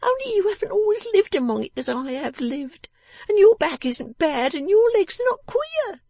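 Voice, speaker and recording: A woman voicing a sharp-tongued, bossy, vengeful young woman in a storybook, with emphatic, snapping speech. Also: very high-pitched, sing-song, moderate pace, dry recording, voice pushed up, heavily acted. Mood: grudging. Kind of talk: dramatised storybook reading.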